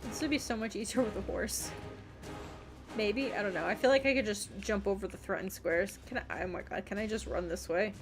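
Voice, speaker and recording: A woman's voice: mid-range voice, brisk, over music. A woman livestreamer giving casual gameplay commentary, muttering questions and reactions to herself as she plays. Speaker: video game streamer.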